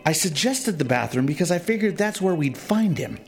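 A man plays a wary, sensible leader in a comic audio drama. His voice is medium in pitch with lively inflection. Music runs beneath his lines. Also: brisk. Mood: wary, urgent.

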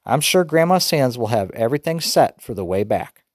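A man voicing a brisk, organized mother. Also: medium pitch, very animated, no echo, studio quality. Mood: planning. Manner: brisk, careful, list-like planning. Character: organized mother reading out a trip plan.

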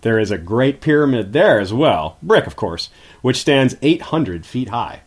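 A man's voice: medium pitch, big pitch swings, a little slow, breathy edge. A man speaking as a guest history expert, giving scripted, articulate explanations in an informative lecturing manner.

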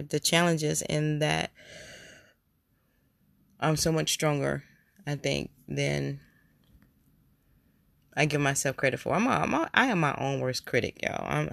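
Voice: mid-range voice, gravelly, fairly bright tone, no echo. A podcast host with a cold, plainly congested, talking in a rambling, heartfelt solo episode. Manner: rambling, heartfelt, repeats words. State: stuffy, congested nose from a cold. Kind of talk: casual solo podcast monologue.

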